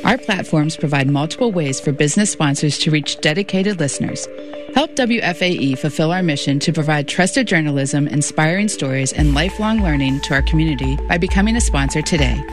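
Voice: medium pitch, animated, a little fast. An American-accented announcer reading a scripted promotional message for a radio station. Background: music.

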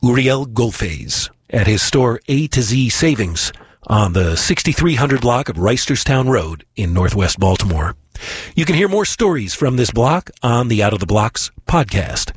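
A man hosting a podcast, reading a scripted outro in a measured, announcer-like way. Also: mid-range voice, big pitch swings, slightly rough, no echo. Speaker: podcast host.

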